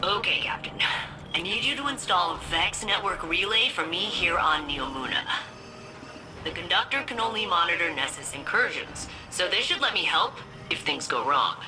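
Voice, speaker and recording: A woman's voice: fairly low pitch, lively intonation, normal speed, over music, close to an everyday voice, rough recording. An actress playing a quirky, anxious, chatty female AI companion in a video game, with nervous, wry asides. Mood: eager, wry. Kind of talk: video game character dialogue.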